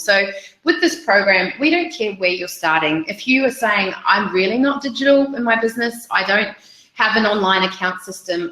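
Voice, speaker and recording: Bright-voiced New Zealand woman presenting. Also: medium pitch, reverberant, low-quality recording. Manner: upbeat and chatty, with frequent ums. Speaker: webinar presenter. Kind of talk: presenting a webinar with slides.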